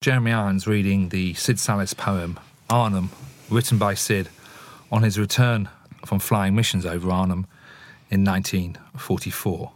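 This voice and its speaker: A man's voice: low voice, steady tone, normal speed, no echo. A man hosting a podcast in a conversational, reflective and explanatory way. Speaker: podcast host.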